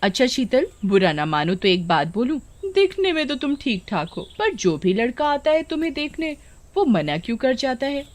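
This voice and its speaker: A woman voicing a bossy, mocking village girl who talks in taunting exclamations in an animated story. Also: high voice, normal speed, pitched above the performer's own voice.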